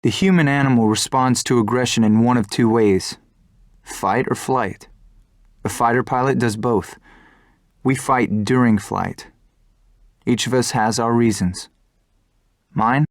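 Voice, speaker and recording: An actor playing a determined young fighter pilot hero in a video game, earnest and resolute, recorded dry over music. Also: male voice, medium pitch, unhurried, pauses often, dry recording, close to an everyday voice. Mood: solemn, defiant. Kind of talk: video game cutscene acting and dramatic monologue.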